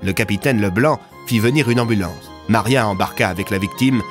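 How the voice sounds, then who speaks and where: A man's voice: fairly low pitch, brisk, music in the background. A man narrating scripted audio fiction as a storyteller.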